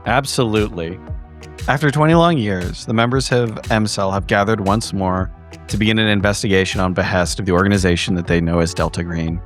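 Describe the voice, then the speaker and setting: A man narrating a scripted recap of a horror game in a measured, ominous storytelling manner. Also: on the low side. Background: background music.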